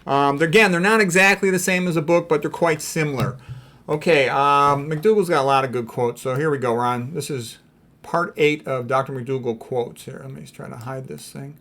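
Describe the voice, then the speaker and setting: A man's voice: fairly high pitch, normal speed, fairly bright tone. Man giving a blunt, informal lecture. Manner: blunt, opinionated, conversational asides. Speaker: medical lecturer, video host. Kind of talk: informal lecture commenting on quotes.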